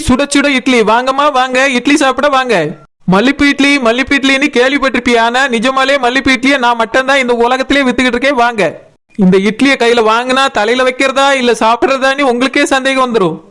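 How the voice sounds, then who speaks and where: Someone voicing a high-pitched boastful cartoon idli seller. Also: little pitch movement, a little fast, fairly bright tone, clear, resonant tone. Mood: boastful, hawking. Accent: Kanyakumari Tamil. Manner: sales patter, pleading, repetitive. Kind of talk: comic cartoon dialogue.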